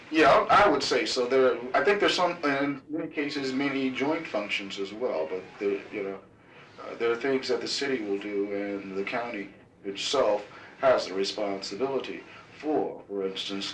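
A man of thirty being interviewed, answering in a deliberate, hesitant way with many pauses and fillers. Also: fairly low pitch, fairly bright tone. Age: 30s. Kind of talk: casual oral-history interview. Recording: roomy, distant, noisy tape recording.

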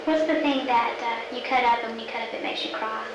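A woman interviewer leads with patient, coaxing questions, her voice a bit high. She sits back from the mic in a very echoey space, with steady noise underneath. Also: fast. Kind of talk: prompting word questions. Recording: echoey, distant, noisy recording.

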